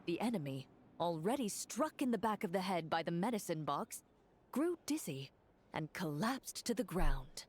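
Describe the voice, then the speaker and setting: A composed, analytical female game master in a video game. Her voice sits fairly low, and she narrates fantasy combat in precise, formal, measured phrases. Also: sing-song, slightly rough. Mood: storytelling.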